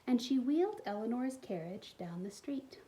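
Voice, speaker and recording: A woman reading a picture book aloud to young children in an expressive way, pausing to explain things to them. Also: on the high side, background noise. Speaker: storytime reader for a school community.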